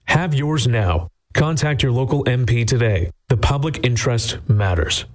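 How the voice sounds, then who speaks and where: A man's voice: medium pitch, moderate pace. A man reading scripted radio commercials in an earnest, urgent way. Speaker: ad voice-over.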